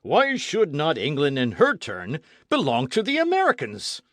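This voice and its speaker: A man's voice: a little high, wildly swooping pitch, normal speed, a bit bright, no room sound, clean studio sound, pitched above the performer's own voice. A man voicing a fiery elderly male gun-club veteran who bellows indignantly. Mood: defiant.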